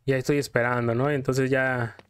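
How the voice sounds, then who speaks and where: A man's voice: medium pitch, little pitch movement, brisk, smooth. A man with a Mexican accent telling a story in casual, colloquial style while commenting as a gameplay host. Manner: colloquial, acts out quotes in passing.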